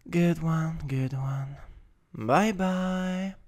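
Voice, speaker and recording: A man with an Italian accent improvising ASMR as a teasing, domineering older stepbrother, in a halting, intimate, breathy voice that often drops to a whisper. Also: a little high. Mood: soft, teasing. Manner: slow, intimate, halting delivery. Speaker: ASMR roleplay performer. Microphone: close USB microphone.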